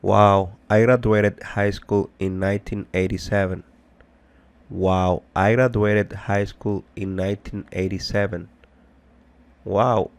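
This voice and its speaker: A man teaching a bilingual language lesson, deliberately explaining, translating and repeating phrases. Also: on the low side. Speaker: English teacher.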